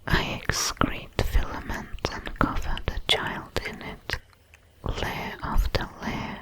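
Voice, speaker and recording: Woman as a slowly whispering alien centipede creature. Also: fairly bright tone. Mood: longing, calm. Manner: slow, solemn, formal whispering in short measured phrases. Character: alien centipede creature, patient, homesick, dutiful, nurturing. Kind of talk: ASMR audio roleplay monologue.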